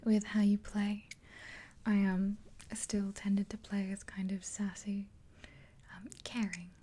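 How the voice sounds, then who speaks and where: A woman's voice: medium pitch, little pitch movement, takes it slowly, dark tone, slight background noise. A woman in her twenties whispering soothingly and hesitantly, close to the mic, in an ASMR roleplay as if chatting with a friend. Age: 20s. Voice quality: breathy whisper. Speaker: ASMR video creator playing a makeup artist friend. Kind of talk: whispered ASMR roleplay, one-sided chat with the listener. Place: small indoor room, dark backdrop. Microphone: close to the mic, facing camera.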